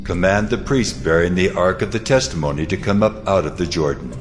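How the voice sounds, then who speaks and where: A majestic, commanding God in an audio scripture drama. The deep voice makes grave pronouncements in a long reverb, with music underneath. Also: male voice, a little fast. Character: the Lord God, solemn, majestic, commanding male deity.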